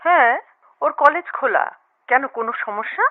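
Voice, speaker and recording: A woman playing a supportive, comforting older sister in a radio drama, animated and heard through a telephone filter. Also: high-pitched, moderate pace. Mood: cheerful, curious. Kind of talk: Bengali radio drama acting. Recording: muffled telephone-line sound.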